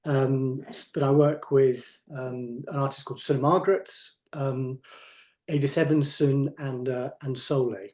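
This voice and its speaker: A man introducing himself as an artist manager on a panel, talking haltingly with many fillers and trailing off quietly, over a video call. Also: mid-range voice, fairly even intonation.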